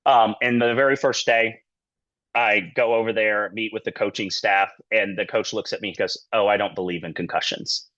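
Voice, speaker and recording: A man's voice: lowish voice, fairly even intonation, moderate pace, a bit bright, no echo, lo-fi sound. A man in middle age, a sports medicine physician, giving an informal lecture in a conversational, loosely phrased way. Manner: conversational, loosely phrased, peppered with "you know" and "kinda". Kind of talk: informal lecture with anecdotes and career advice.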